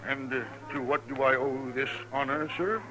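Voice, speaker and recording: A man's voice: medium pitch, fairly even intonation, moderate pace, background noise, close to an everyday voice. A man playing a lonely, fiery old medicine-show professor with florid, formal oratory in a radio western. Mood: courteous, pleading.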